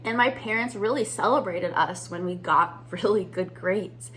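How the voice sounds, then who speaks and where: A woman's voice: medium pitch, normal speed, a bit bright, a little hiss or hum, poor audio. Upbeat woman coaching viewers. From United States. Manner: upbeat, conversational, addresses viewers as "you guys". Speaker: business mindset coach. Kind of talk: teaching to camera.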